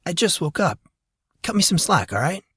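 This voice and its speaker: A man's voice: high-pitched, sing-song, moderate pace, fairly bright tone, slightly rough, voice pushed up, full character voice. A man voicing a grumbling, defensive fourteen-year-old schoolboy in an audiobook, with a sulky, hesitant manner. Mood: sleepy, sheepish.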